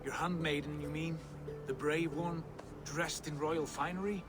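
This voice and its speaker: A man's voice: on the high side, fairly even intonation, a little slow, lightly acted. A male actor playing an imperious, menacing Viking warlord in a video game, with grandiose, taunting delivery. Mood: mocking. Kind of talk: theatrical video game acting. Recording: game music clearly underneath.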